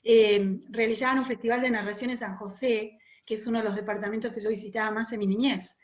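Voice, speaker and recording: A woman's voice: on the high side, steady tone, fast. A woman from Uruguay with a Rioplatense accent chats in an explanatory way as an interview guest, over a muffled, roomy internet call. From Montevideo, Uruguay. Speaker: guest, oral storyteller. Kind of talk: casual interview chat.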